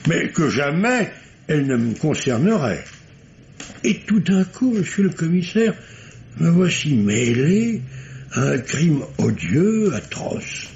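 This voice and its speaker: Stuffy solemn doctor in an old radio drama, wordy, swooping theatrical intonation, muffled recording. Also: male voice, fairly high pitch, very animated, gravelly, darkish tone, a little hiss or hum, exaggerated voice. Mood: grave, indignant. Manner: pompous, deliberate, literary phrasing. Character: formal, verbose, solemn male country doctor. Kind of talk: vintage French radio mystery acting.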